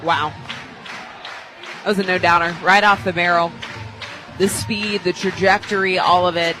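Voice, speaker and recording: A woman giving conversational live color analysis of a softball game from a radio booth, with emphatic, repeated phrasing. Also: lowish voice, fairly even intonation, normal speed, music in the background, crowd noise. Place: radio booth at an outdoor ballpark.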